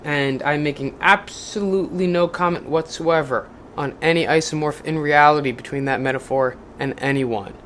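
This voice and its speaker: A casual vlogger speaks at an everyday middle pitch and swings between rough, profane venting and a deliberate, lifted read-aloud voice. The talk rambles with fillers and restarts, and a faint hiss sits under it. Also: fairly bright tone. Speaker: video maker reading a book aloud.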